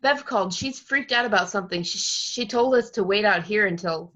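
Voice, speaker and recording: A woman's voice: medium pitch, a little fast, a bit bright, natural voice. A woman playing a wisecracking, mouthy young boy in an amateur screenplay read. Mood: urgent. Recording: dull lo-fi mic.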